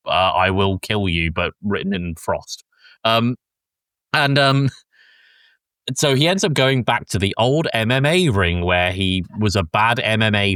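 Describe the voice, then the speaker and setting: Low-voiced man, rambling casual podcast host. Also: a bit bright, clear, resonant tone, no echo. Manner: rambling and halting, frequent swearing. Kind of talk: casual recap of a film plot.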